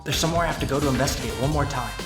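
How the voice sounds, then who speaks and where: An earnest teenage schoolboy detective a man acts him thinks aloud in a game dub. He is anxious and easily bewildered, yet determined. The mid-pitched voice sits in a roomy recording with music clearly behind it. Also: racing, natural voice. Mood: reflective, determined. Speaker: voice actor in a fan dub. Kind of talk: game dub narration and dialogue.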